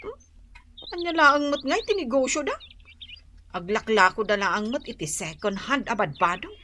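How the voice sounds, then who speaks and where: A woman's voice: a little high, sing-song, normal speed, pushed and bright, slight background noise. A woman playing a peevish, envious younger sister in an Ilocano Filipino radio comedy drama, with a whiny, sulky delivery. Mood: envious, scornful. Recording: music behind the voice.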